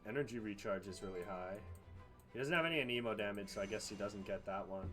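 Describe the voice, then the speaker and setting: Low-voiced man streaming a game. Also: fast talker, sharp tone. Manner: casual, profane, thinking aloud. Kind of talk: casual live gameplay commentary. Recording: music behind the voice. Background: game music.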